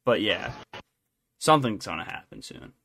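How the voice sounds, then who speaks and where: A man's voice: fairly low pitch, sing-song, moderate pace, vocal fry, a bit bright, a little hiss or hum. A man co-hosting a podcast, chatting casually about entertainment news in a rambling, jokey, self-interrupting way. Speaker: podcast co-host.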